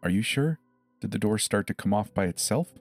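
A man's voice: on the low side, lively intonation, brisk, soft background music. A man playing an emergency dispatcher in a dramatized horror story, reassuring and methodical, probing with short questions. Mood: insistent, probing. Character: calm, insistent adult emergency dispatcher questioning a child.

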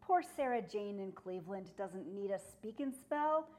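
A woman voicing a sensible, wise old wife of Santa, matter-of-fact and gently chiding, in a rhyming Christmas story. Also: medium pitch, lively intonation, a little slow, close to an everyday voice.

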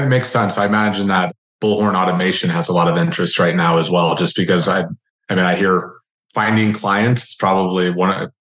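A man with a fairly low voice hosts a casual interview. He speaks haltingly, reworking his phrases as he goes. The sound is noticeably roomy and echoing. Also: fairly bright tone. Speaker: podcast host.

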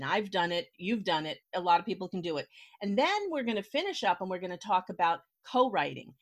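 A woman hosting a conversational interview, explaining things in an encouraging, chatty way. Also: fairly low pitch. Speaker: podcast host, writer.

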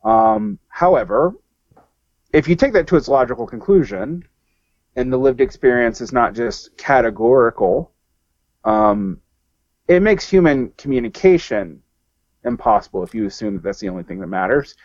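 A man's voice: mid-range voice, expressive, normal speed, a little mellow, no echo. Male guest, rambling and restarting, dry muffled line. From American South. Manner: rambling, many fillers and restarts. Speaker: podcast guest. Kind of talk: casual discussion.

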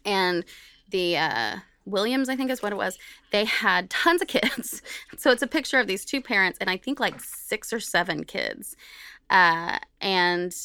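A woman's voice: medium pitch, unhurried, smooth, no echo. A woman co-hosting a podcast, telling a story casually and acting out what people said as she goes. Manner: animated retelling, acts out quoted remarks. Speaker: podcast co-host.

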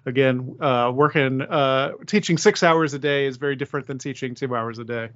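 A man's voice: medium pitch, normal speed, a bit bright, lo-fi sound. A man chatting casually as the host of a podcast. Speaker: podcast host and high school teacher. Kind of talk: casual conversation.

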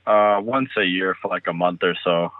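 A man's voice: low-pitched, normal speed, no room sound. A young man from the United States being interviewed over the phone, laid-back and frank, with lots of "like"s. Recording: tinny phone line.

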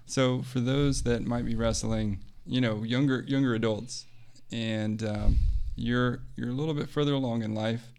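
A man hosting a podcast interview with a steady, affirming manner. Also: medium pitch, normal speed, clean tone. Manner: steady, flat delivery, affirming. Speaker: podcast host.

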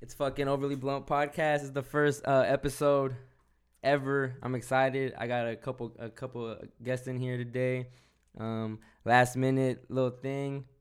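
A young man from hosting a casual podcast chat, profane and relaxed, laughing often. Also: mid-range voice, normal speed, clear, resonant tone. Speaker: podcast host.

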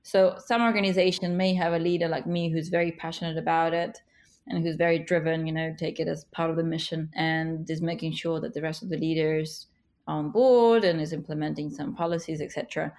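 A woman in her thirties, a native speaker of Russian and Ukrainian, gives interview answers with slow, emphatic stresses. She sounds encouraging and earnest, and her voice sits fairly low. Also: flat delivery, a little mellow. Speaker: guest, author and business leader.

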